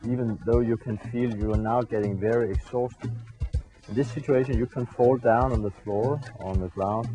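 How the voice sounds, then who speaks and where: Male speaker, dark mellow tone, grave and cautionary. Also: lowish voice, low-quality recording. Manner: serious, warning.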